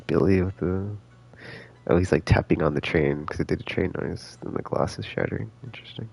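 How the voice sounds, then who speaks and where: A man's voice: low voice, fairly quick, gravelly, darkish tone, husky. A man casually rambling through live game stream commentary, thinking aloud in a laid-back, slangy way. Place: indoors, live stream with game music behind.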